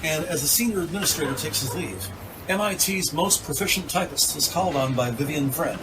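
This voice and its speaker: Male narrator, slightly high voice, measured storytelling, scripted drama, noisy ambience behind. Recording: clear background noise, ambience.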